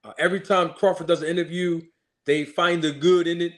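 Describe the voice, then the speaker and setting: A man's voice: a little high, steady tone, fairly quick, fairly bright tone. A male channel host giving an emphatic commentary rant, mocking critics with repeated rhetorical questions.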